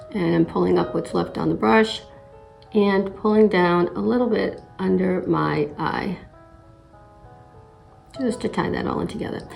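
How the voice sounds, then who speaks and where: A middle-aged woman narrates a makeup tutorial while fighting a slight cold. Her voice sits low and sounds a little raspy and gravelly. She explains each step in an easy, chatty way, with faint music playing underneath. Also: rough recording. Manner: relaxed step-by-step explaining with frequent "uh" and throat clearing. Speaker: makeup vlogger.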